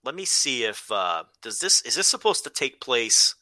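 A man's voice: mid-range voice, very animated, normal speed, pushed and bright, no room sound. A man co-hosting a casual comedy chat about films, joking and swearing as he rambles along. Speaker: podcast co-host.

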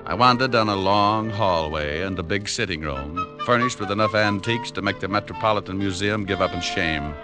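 An American actor playing a suave, wisecracking private detective with wry, hardboiled delivery in an old-time radio show. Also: male voice, deep voice, a little fast, few pauses, close to an everyday voice. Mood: wry narration. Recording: old radio broadcast with music underneath. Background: music.

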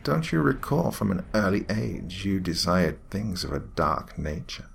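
A man voicing an evil alien demon queen in a horror story, with a raspy, slithering, mock-tender and menacing delivery. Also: low voice, big pitch swings, unhurried, a little hiss or hum, voice pushed up, exaggerated voice. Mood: taunting.

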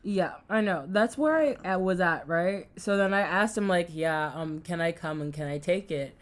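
A young woman chats on a podcast, telling animated stories and acting out what her family said. Her voice sits on the low side for a woman. She sounds relaxed and at ease. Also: normal speed. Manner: animated storyteller who acts out family dialogue, laughs easily. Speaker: podcast co-host. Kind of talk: casual chat.

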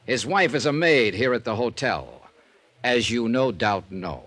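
Tough wry detective type, blunt, really varied melody in the voice, 50s radio crime show. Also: male voice, medium pitch, animated, unhurried, fairly bright tone. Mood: stern, accusing. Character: tough, dry, sardonic male insurance investigator. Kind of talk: 1950s radio drama acting. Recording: 1950s radio drama recording.

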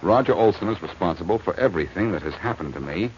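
An actor playing a smooth, wisecracking amateur detective with an American accent in a 1940s-style radio drama, brisk and flirtatious. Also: male voice, low voice, expressive. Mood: urgent. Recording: muffled, noisy old radio recording.

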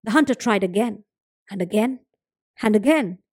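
A woman storyteller hosting a folktale show, narrating and commenting expressively with dramatic, deliberate phrasing. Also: mid-range voice, expressive, a little mellow, no echo, studio quality. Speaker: storyteller and podcast host.

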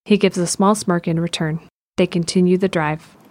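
A woman's voice: on the low side, steady tone, normal speed, darkish tone. A woman narrator reading scripted present-tense narration for an audio drama.

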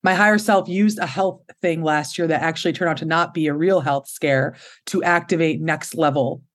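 Woman, 30s, low voice; expressive, candid interview guest who laughs easily. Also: normal speed. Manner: expressive, open, laughs often. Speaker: podcast guest.

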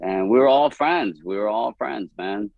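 Low-voiced man, dry and muffled. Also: moderate pace, gravelly, no room sound. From East Dallas, Texas. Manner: animated, rambling, laughs often. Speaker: comedian guest. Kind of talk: candid podcast conversation. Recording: dull, dry podcast audio.

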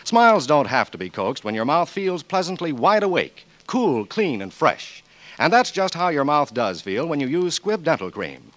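A man's voice: fairly high pitch, sing-song, moderate pace, fairly bright tone, no room sound. A male announcer delivering scripted 1940s radio commercials in a polished, emphatic salesman's manner.

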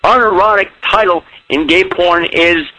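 A man's voice: sits high, unhurried, bright, forceful tone, dry recording, rough recording. A drunk man rambling in a campy, comic way as he leaves a casual voicemail over the phone. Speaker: listener phoning in a voicemail.

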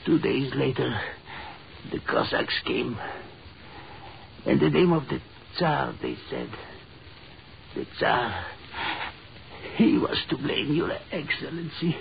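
Casting: broken, crazed convict, creaky voice, very slow halting delivery, vintage radio drama. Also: male voice, mid-range voice. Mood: embittered, agitated. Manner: halting, dazed, repetitive. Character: crazed, embittered, muddled prisoner serving life. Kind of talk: 1940s radio horror acting. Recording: dull, hissy 1940s radio recording.

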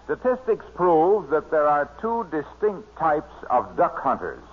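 An American man working as announcer, delivering scripted introductions and sponsor pitches in 1940s radio style. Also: huge pitch swings, measured pace, slightly rough, soft background music. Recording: tinny vintage radio broadcast recording.

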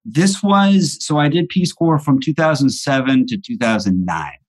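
A man's voice: medium pitch, expressive, normal speed. A man in his thirties chatting as a podcast guest, rambling and hesitant with many fillers. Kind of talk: casual chat.

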